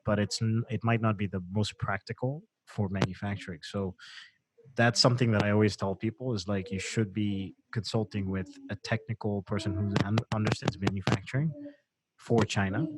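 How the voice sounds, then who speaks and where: A man's voice: fairly low pitch, moderate pace, noisy background. A man hosting a live-stream chat about manufacturing. He talks loosely and uses plenty of fillers. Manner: relaxed, reads out viewer comments, many fillers. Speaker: host of a sourcing show.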